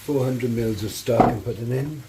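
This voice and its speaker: A man with a slightly hoarse, croaky voice, talking casually through each cooking step in a home kitchen. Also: medium pitch, moderate pace, very noisy. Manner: relaxed, chatty step-by-step talk. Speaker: home cook. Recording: frying sizzle behind voice.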